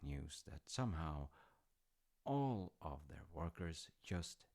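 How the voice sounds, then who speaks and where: Easygoing storyteller dad male voice actor, audio roleplay: unhurried, creaky, wry and musing. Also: rumbling low, very animated, a little mellow, heavily acted. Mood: wryly amused. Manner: wry, understated, musing with dry humour. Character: easygoing, artsy dad telling Norse myths to his grown child. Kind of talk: casual, intimate storytelling roleplay.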